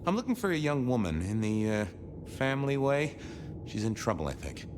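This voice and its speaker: A man's voice: medium pitch, very animated, moderate pace, noisy background, natural voice. A man voicing a glib, theatrical vampire detective in a video game, wry and politely probing. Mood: curious. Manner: wry, hesitant, swooping politeness. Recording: echoing reverberant hall, background music.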